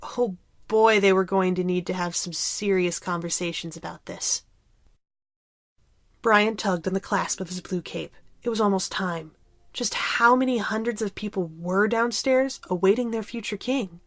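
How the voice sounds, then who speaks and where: A woman's voice: mid-range voice, normal speed, fairly bright tone, no room sound. Woman narrating a story, dry sound.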